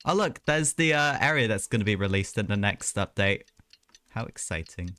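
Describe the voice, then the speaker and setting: A man's voice: lowish voice, lively intonation, normal speed, bright, forceful tone, dry recording. A man livestreaming a video game, giving casual, chatty commentary with rambling, self-mocking asides. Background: game music.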